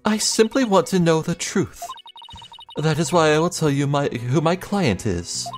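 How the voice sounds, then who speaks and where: Determined woman for a game, raised pitch, formal and deliberate, played by a man; music behind. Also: animated. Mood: solemn. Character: solemn, determined woman writer seeking the truth.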